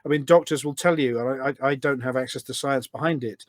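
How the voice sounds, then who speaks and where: A man's voice: medium pitch, quick pace, dry recording, studio quality. A British man chatting casually and telling stories as a podcast guest, enthusiastic and emphatic, often repeating his phrases. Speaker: podcast guest, charity event organiser. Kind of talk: casual conversational storytelling.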